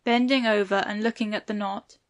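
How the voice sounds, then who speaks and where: Woman narrator with medium pitch. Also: fairly even intonation, brisk. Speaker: narrator reading stage directions. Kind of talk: scripted audiobook narration.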